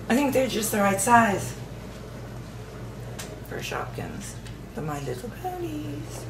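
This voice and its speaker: A woman's voice: on the high side, huge pitch swings, takes it slowly, pauses often. A woman chatting playfully beside a toy demo, adding comments and laughing. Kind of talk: casual chat. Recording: echoey noisy room.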